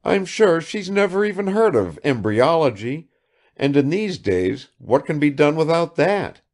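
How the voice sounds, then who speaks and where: A man's voice: on the high side, very animated, darkish tone, pitched above the performer's own voice. A man reading aloud and voicing an affected, chattering provincial woman who fires off questions without waiting for answers. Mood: gushing chatter. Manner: gushing, rapid-fire questions.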